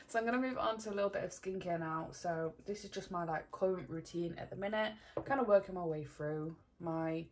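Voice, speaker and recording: Young woman, twenties, lowish voice, chatty, rambling, bedroom vlog, camera on desk. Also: moderate pace, faint music behind. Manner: chatty, rambling, laughs often, many fillers. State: slightly croaky morning voice. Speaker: vlogger. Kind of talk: casual chat to camera. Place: bedroom at home, indoors. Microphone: camera on a desk in front.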